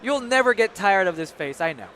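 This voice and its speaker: Lively guy from japan hosting a game event, high voice, swoops all over, playful and hammy. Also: a little fast, a little hiss or hum. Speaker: event showcase host. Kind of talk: lively casual on-stage interviewing. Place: gaming convention.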